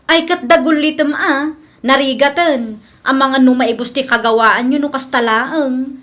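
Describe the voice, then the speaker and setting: A suspicious, gossipy village woman played by an actress in a radio comedy. Her high voice complains in drawn-out, aggrieved phrases. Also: fairly bright tone. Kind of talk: comedy radio drama acting.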